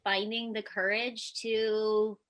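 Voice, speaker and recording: Brief: high-voiced woman interview guest, crisp bright tone, candid and self-mocking. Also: flat delivery, slow pace. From United States. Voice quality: high-pitched voice. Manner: candid, self-deprecating, laughs often. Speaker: podcast guest, Pilates teacher. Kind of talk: casual interview chat.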